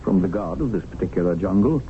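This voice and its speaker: An actor playing an experienced English tiger hunter in colonial India, explaining things and easily exasperated, in a radio drama. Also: male voice, low voice, animated, quick pace. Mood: calm, instructive. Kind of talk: 1970s radio drama acting. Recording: narrow-band old radio broadcast, faint noise.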